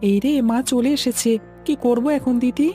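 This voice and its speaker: An actress playing a naive, childlike little brother full of questions in an audio drama. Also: female voice, fairly high pitch, steady tone, normal speed, dark tone, soft background music, close to an everyday voice. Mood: upset. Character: curious, naive little boy, a younger brother. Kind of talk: acted audio story dialogue.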